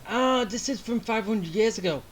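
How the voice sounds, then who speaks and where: A woman's voice: fairly high pitch, normal speed, slight background noise. A woman streaming a video game, giving casual commentary in short, muttered reactions.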